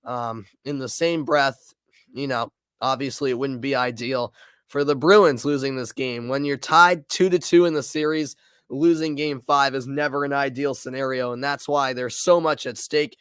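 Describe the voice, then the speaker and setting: A congested man with a slightly sore throat talks through a live intermission report, loose and chatty, with plenty of fillers. His voice is smooth and close to the mic, in a dry room with no echo. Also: medium pitch, steady tone, moderate pace, a bit bright. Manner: casual, chatty, frequent fillers and restarts. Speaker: play-by-play commentator. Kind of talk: live stream intermission commentary.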